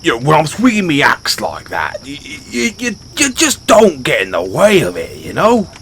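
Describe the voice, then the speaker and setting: A man's voice: fairly high pitch, huge pitch swings, a bit bright, slight background noise, heavily acted. A man playing a big, brash orc barbarian in a fantasy audio roleplay, speaking colloquially straight to the listener. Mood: defensive, flustered. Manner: colloquial, halting when defensive. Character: big, brash male orc barbarian, rambunctious but sheepish. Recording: nature ambience with crickets behind. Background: outdoor night ambience, crickets.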